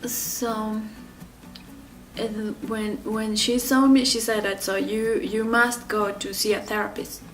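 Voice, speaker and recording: A woman in her thirties from Ecuador telling her own story in an interview, speaking haltingly with many fillers and restarts. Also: mid-range voice, flat delivery, recorded from a distance, faint music behind. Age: 30s. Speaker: interview guest.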